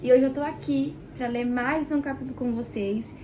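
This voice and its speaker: A woman with a Brazilian Portuguese accent hosts a book club video in her small kitchen, chatting warmly and directly to the viewer, nasal and stuffed up from a cold. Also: sits high, steady tone, slight background noise. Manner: warm, chatty, addresses viewer directly with pauses. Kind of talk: casual devotional reading vlog.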